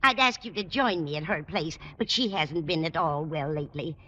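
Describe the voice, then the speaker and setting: A woman's voice: low voice, normal speed, fairly bright tone, highs cut off. An actress playing a kindly, scatterbrained, chatty landlady in a 1950s radio sitcom. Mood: fond, chatty.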